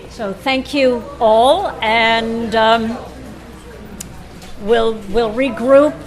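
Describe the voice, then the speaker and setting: Unhurried woman hosting in an echoey room. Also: fairly high pitch, fairly even intonation. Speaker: club meeting host. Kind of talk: informal address to a club meeting. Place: indoor meeting room with people chattering. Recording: echoey room with loud crowd chatter.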